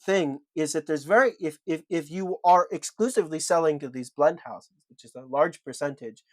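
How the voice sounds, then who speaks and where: Man, fairly high voice, dry close sound, emphatic podcast co-host, lists his points. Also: normal speed, no echo. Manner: emphatic, builds arguments in lists. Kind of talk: argumentative podcast discussion.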